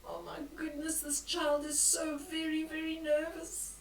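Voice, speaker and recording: Older woman, children's storyteller, expressive, hushed and exclaiming, leisurely pace, roomy sound away from the mic. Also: sits high, measured pace, a little hiss or hum. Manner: expressive and dramatic, dropping into hushed whispers and exclamations. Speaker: storyteller reading a picture book aloud to children. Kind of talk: bedtime read-aloud with asides on the pictures. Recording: roomy echo, distant from the mic.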